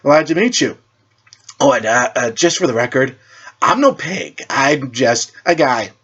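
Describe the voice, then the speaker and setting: A man's voice: mid-range voice, lively intonation, measured pace, fairly bright tone, breathy edge, highs cut off. A man voicing a cocky, flippant teenage male superhero who stammers and wisecracks in an audiobook-style story. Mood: defensive, shrugging.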